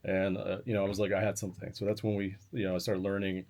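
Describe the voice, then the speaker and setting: A man who owns a burger restaurant, talking as a guest in a casual interview, full of "like" and quick restarts. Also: sits low, flat delivery, a little mellow. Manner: quick, filler-heavy talk with repeated likes.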